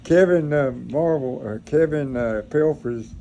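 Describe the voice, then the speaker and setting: A man's voice: a little high, a little slow, dark tone, highs cut off. An older man, telling stories informally in a folksy, plain-spoken, rambling way, like a grandfather recalling his service. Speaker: grandfather recounting his military past. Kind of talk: informal reminiscing, storytelling. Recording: noisy room, clear background noise.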